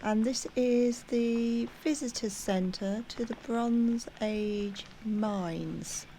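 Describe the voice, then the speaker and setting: Woman vlogging on a hill walk, slow talker, lots of ums, bit puffed, faint wind and outdoor hiss. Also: a little high, warm tone, rough recording. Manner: chatty, hesitant, many ums. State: out of breath. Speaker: walking vlogger. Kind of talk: casual walking tour narration. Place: outdoors on a hillside.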